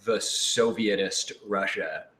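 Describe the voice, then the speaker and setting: A man's voice: on the low side, slow, sharp tone. A young man hosting a casual group question session, chatting in a jokey way and prone to laughing. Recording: roomy, echoey room with clear noise.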